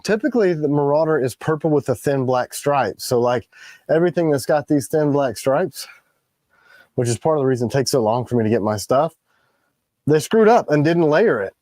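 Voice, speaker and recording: A man with a raspy voice, tired in the morning, co-hosting a casual product livestream and explaining the details of the items he shows. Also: on the high side, animated, moderate pace, darkish tone, no echo. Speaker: co-host of a knife company livestream. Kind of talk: casual live chat about products.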